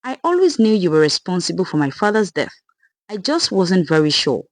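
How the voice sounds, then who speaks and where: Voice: medium pitch, big pitch swings, normal speed, dry recording. A storyteller voicing an angry, anguished young husband who speaks in shocked demands to his mother. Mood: bitter.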